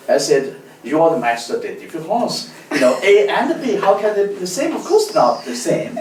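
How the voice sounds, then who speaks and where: A man gives a university lecture as a guest lecturer, telling stories in an animated way with many fillers and restarts. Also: fairly high pitch, slight background noise. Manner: lively storytelling, frequent fillers and restarts. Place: lecture hall. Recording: echoey hall, distant mic.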